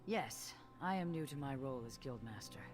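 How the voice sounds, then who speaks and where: Solemn fantasy guildmaster over music. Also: female voice, rumbling low, lively intonation. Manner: measured, solemn, formal. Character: earnest new guildmaster of a fantasy fighters' guild. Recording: game music underneath.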